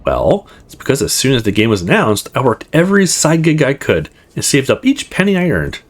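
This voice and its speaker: Man putting on an animated, eager young woman gamer. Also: medium pitch, fairly quick. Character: young woman gamer friend, eager, teasing, jealous of rivals.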